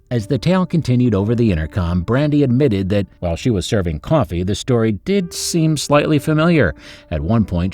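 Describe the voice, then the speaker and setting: A man's voice: on the low side, darkish tone. A man hosting a story channel, reading scripted narration in an upbeat, suspenseful storyteller manner.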